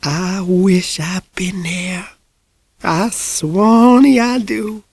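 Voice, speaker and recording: A man voicing a frail, folksy woman of a hundred and six, with a quavering, breathy Southern drawl and a sly warmth. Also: high voice, steady tone, no room sound, voice pushed up, heavily acted. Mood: warm, earnest. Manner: slow, drawling country speech.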